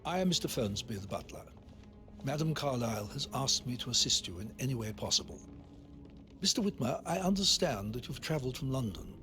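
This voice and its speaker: A man's voice: medium pitch, animated, a little fast, pushed and bright, faint music behind. A man playing a discreet, deferential butler in a video game, speaking formally and politely with some stiffness. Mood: discreet, solemn. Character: discreet, deferential male butler of a grand manor. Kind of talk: video game cutscene acting.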